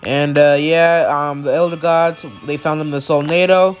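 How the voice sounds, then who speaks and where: A man's voice: a little high, fairly even intonation, normal speed, soft background music. A man with a cold hosting his channel, recapping video-game character lore in a rambling way, full of likes and uhs. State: has a cold. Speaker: channel host.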